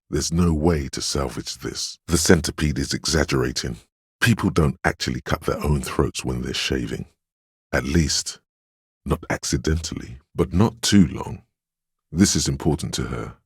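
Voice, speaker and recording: Stern inner willpower voice for a game, super deep n husky, measured, dry sarcasm, scolds you. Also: male voice, no echo, full character voice. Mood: firm, scolding. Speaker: voice actor for a video game. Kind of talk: scripted second-person game narration, inner voice.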